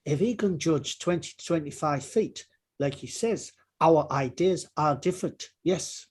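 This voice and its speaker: A man's voice: a little high, lively intonation, measured pace, darkish tone, husky. A man playing a terse, guarded riverboat captain under questioning in a courtroom drama table read, giving clipped, unyielding answers. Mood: guarded, defensive.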